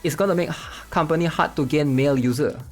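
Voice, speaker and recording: A man's voice: fairly high pitch, quick pace, darkish tone, soft background music. A man hosting a gaming channel, casually commenting while reading online posts aloud and often tagging sentences with "right?". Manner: chatty, tags sentences with "right?".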